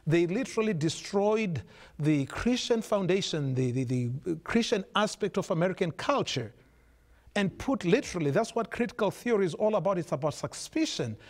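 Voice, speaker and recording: High-pitched man from Zimbabwe, emphatic. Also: lively intonation, moderate pace, darkish tone. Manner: earnest, emphatic, lecturing, stumbles and repeats words. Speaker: guest, author. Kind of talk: studio talk show discussion.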